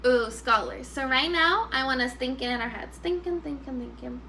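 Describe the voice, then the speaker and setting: A woman teacher reads to young children in an expressive, instructive way. The voice is fairly high and very bright, and there is faint noise in the recording. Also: normal speed. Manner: expressive, instructive, repeats questions for pupils. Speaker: first grade teacher. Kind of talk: children's read-aloud with teaching questions.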